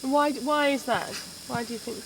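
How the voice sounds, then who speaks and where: A woman presenting a wildlife show outdoors in the cold, curious and chatty, quick to laugh. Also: high voice. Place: outdoors in snowy cold country. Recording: outdoor field noise.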